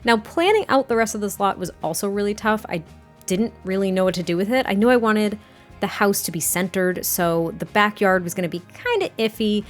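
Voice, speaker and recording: A woman with a slightly raspy, mid-pitched voice talks casually and rambles a little, full of fillers. Soft music plays faintly underneath her voice-over. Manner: chatty, rambling, frequent fillers and laughs. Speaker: game builder narrating a speed build. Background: soft background music.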